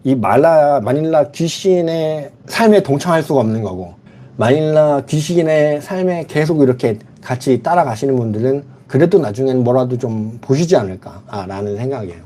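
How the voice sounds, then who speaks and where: A man's voice: mid-range voice, animated, moderate pace, mellow. A middle-aged man hosting a casual livestream, answering viewer comments bluntly and frankly. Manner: blunt, reads chat comments aloud and replies frankly. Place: lives in the Philippines.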